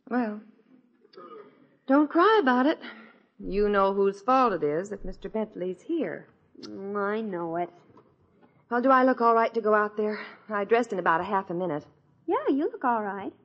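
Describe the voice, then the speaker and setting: A wry, exasperated suburban American woman in a fifties radio comedy. Her voice is a little high and she grumbles about the household. The recording is dull, like an old broadcast. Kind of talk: 1950s radio sitcom acting.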